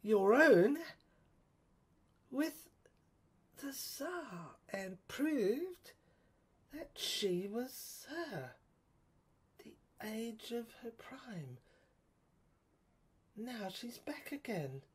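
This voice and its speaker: A woman plays an otherworldly female guide in an audio drama, breathy and deliberate. She speaks in halting, portentous bursts, insistent and emphatic, at a fairly high pitch. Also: sing-song, exaggerated voice. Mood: solemn, revelatory. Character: insistent, mystical spirit woman guide revealing secrets.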